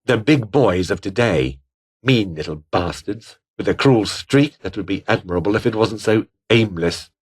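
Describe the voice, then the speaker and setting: A man's voice: low-pitched, breathy edge. A male actor playing a haughty, bitter, scheming fallen aristocrat and rebel officer in a video game, with a British accent and a pompous, sneering, formal manner. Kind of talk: video game character dialogue.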